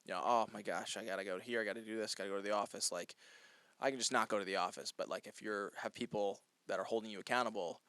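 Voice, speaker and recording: A man's voice: on the low side, fairly even intonation, fast, bright, forceful tone, clean tone, dry recording. A man from New Jersey hosting a casual interview podcast, talking loosely with plenty of "like" fillers. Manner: chatty, lots of "like" fillers. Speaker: podcast host.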